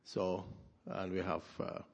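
A man from Sudan introducing himself on a church panel in a measured, plain-spoken way. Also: sits low, flat delivery, gravelly.

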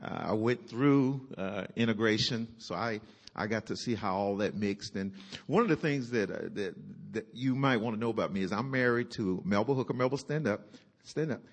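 A man from North Carolina introducing himself on a church panel, telling a joking story in an animated way. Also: fairly low pitch, moderate pace. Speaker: panelist, pastor.

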